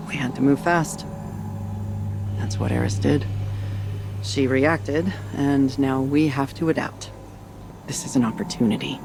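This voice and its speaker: An actor playing a hardened military commander in a video game, grave, measured and resolute. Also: male voice, vocal fry. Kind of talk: dramatic video game cutscene acting. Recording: heavy rumbling ambient noise.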